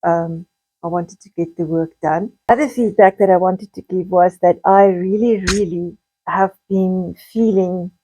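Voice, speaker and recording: A woman with a South African accent and a slightly croaky voice, chatting casually in a rambling vlog monologue, repeating words and drifting into asides. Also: on the low side, steady tone, unhurried, dark tone, slight background noise, poor audio. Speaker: video blogger.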